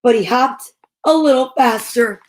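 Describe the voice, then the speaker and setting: A woman reading a children's picture book aloud in a theatrical, suspenseful, drawn-out way. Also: on the high side. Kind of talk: dramatic children's story read-aloud.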